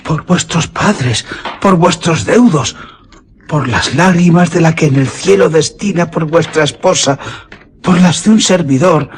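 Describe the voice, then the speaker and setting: A man's voice: a little high, a little slow, a little hiss or hum, lightly acted. An actor playing a devoted, superstitious old male servant in a radio drama, imploring dramatically. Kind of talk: Spanish radio drama acting.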